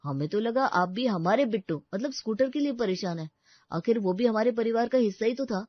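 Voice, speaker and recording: A woman voicing excitable, sentimental male relatives with melodramatic delivery in a comic family story. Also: fairly high pitch, brisk, no echo, lo-fi sound. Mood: exasperated.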